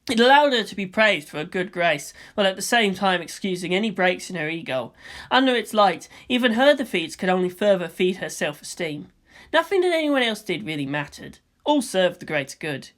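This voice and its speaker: A woman's voice: lowish voice, a bit bright. A woman reading scripted fiction as its narrator.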